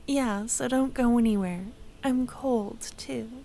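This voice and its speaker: A woman playing a tender, wistful young childhood friend in a soft-spoken, breathy ASMR audio roleplay, often close to a whisper. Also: fairly high pitch, slight background noise. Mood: tender, comforted. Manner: slow, tender, hesitant.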